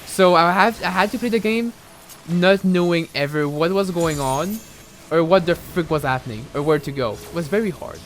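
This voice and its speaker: A young man with a French accent chats loosely while he plays, drifting between thoughts and peppering his talk with fillers and false starts. His voice sits a little high for a man, and soft game music plays faintly behind him. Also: moderate pace. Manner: rambling, chatty, many fillers and restarts. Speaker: game streamer. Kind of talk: casual live gaming commentary.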